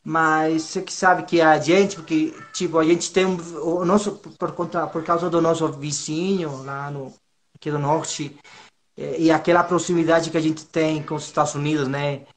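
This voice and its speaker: A man's voice: fairly high pitch, little pitch movement. A man from northern Mexico, a native Spanish speaker with a Mexican accent, talks casually as an interview guest, nervous and hesitant, often repeating himself. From Monterrey, northern Mexico. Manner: hesitant, halting, with frequent repetitions and slangy tags. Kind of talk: casual informal chat.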